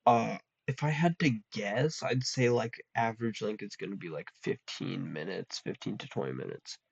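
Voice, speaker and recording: A man's voice: fairly low pitch, expressive, normal speed, vocal fry, breathy edge, dry recording. A young man in a college dorm room, rambling in a casual solo chat with a slightly nasal voice and lots of ums. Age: young adult. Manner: rambling, full of ums and restarts. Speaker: vlogger. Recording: dull lo-fi dry recording.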